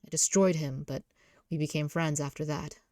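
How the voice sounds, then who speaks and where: A brooding young man, acted out by a woman, low-pitched and close in a dry recording. He is battered and guilt-ridden, talking bluntly and wearily like a gruff love interest in a dating game. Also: fairly even intonation, moderate pace, warm tone, no room sound, lightly acted. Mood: somber, reflective.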